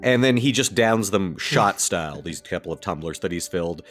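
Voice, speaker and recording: A man's voice: sits low, lively intonation, normal speed, a bit bright, faint music behind. A man playing a tabletop roleplaying game, describing his character's actions in a conversational way.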